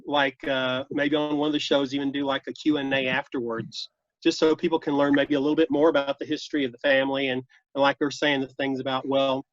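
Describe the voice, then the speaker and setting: A man's voice: mid-range voice, dry recording. A man giving casual, meandering feedback in a group video call. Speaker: table read participant giving feedback. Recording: dull, lo-fi video call audio.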